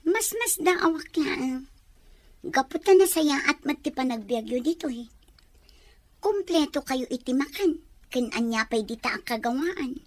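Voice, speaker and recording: A woman playing a frank, eager young runaway daughter in an Ilocano audio drama, expressive and girlish. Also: sits high, a little slow, dry recording. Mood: frank, surprised.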